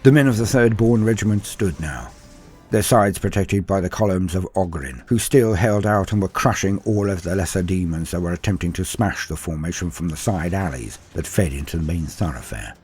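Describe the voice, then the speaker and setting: A man's voice: low voice, normal speed, darkish tone, soft background music. A man narrating scripted war fiction in a grave, theatrical manner, letting his phrases fall away at the end. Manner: grave, theatrical storytelling with falling phrase endings. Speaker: narrator and storyteller.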